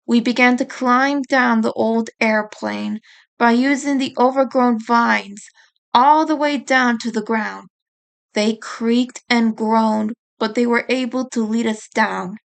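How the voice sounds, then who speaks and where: A woman narrates a story in a high voice. She takes her time, reading at a slow, deliberate pace in the manner of an audiobook storyteller. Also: fairly even intonation, creaky. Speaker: author reading own book chapter.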